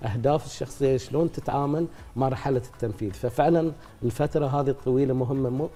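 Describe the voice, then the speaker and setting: A middle-aged man, an actor being interviewed, speaking reflectively at length with pauses. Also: mid-range voice, warm tone. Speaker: actor guest. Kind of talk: casual interview talk.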